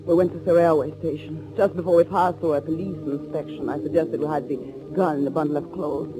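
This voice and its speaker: A woman's voice: low voice, steady tone, fairly quick, loud music behind the voice, close to an everyday voice. An actress playing a frightened, tense woman on the run, narrating her ordeal with dramatic 1940s radio thriller acting. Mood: tense recounting. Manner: dramatic, breathless, suspenseful delivery. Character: frightened young woman fugitive named Anna, tense, recounting her ordeal. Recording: noisy old 1940s radio recording.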